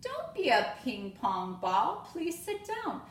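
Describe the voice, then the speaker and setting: Woman as a high-voiced kindly schoolteacher. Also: huge pitch swings, slow, distant mic, exaggerated voice. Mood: firm. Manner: instructing, patient but firm. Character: kindly, good-humoured female primary school teacher in a storybook. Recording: echoey room with faint noise.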